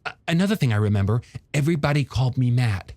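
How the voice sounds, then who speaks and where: A man's voice: mid-range voice, expressive, quick pace, darkish tone, no echo, pitched above the performer's own voice, natural voice. A man voicing an enthusiastic, friendly young male scientist in an audiobook, chatty and given to stammering. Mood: teasing, friendly. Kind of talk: audiobook character dialogue.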